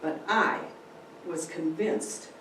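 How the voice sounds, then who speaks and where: The room echoes heavily around a woman narrating a story on stage-like terms. She reads slowly and dramatically, some way from the mic, with faint noise underneath. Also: low-pitched, fairly bright tone, far from the mic. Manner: deliberate, dramatic phrasing. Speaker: speaker giving a dramatic reading. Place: indoor room, speaking to a group.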